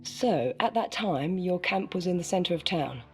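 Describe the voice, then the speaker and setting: An actress playing an inquisitive female journalist who asks measured, probing questions in a game cutscene. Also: fairly low pitch, animated, husky. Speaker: interviewer. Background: music.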